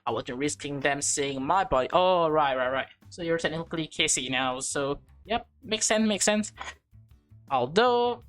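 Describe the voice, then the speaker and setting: A woman with a slightly low voice narrates a visual novel for a playthrough. She moves between read-aloud story text and informal reactions, with music playing underneath. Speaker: let's play streamer reading and reacting to a visual novel. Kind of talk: read-aloud narration with casual live commentary.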